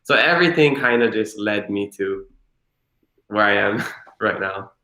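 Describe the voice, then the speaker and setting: A man in his twenties from Hilo, Hawaii, a guest telling his story in a relaxed, rambling way full of "you know" and "like". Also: on the low side, a little slow, reverberant. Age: 20s. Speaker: interview guest. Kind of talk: casual conversational storytelling.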